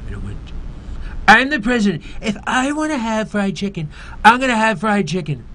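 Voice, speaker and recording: Man doing a loud, petulant president impression. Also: sits high, sing-song, normal speed, a little hiss or hum, pitched above the performer's own voice, full character voice. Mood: indignant whining.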